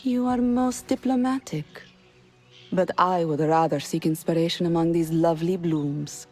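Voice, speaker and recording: An actress playing a proud, refined female poet in a video game, speaking with deliberate elegance. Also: lowish voice, a little mellow, natural voice. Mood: cool, haughty. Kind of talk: poetic video game drama acting. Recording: clear background music with birdsong.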